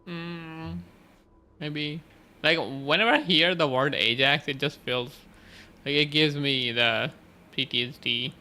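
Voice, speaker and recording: A woman's voice: low voice, fairly even intonation, takes it slowly, bright, forceful tone. A young woman streaming a game, giving casual, muttered reactions as she follows along. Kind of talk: casual gameplay reaction commentary.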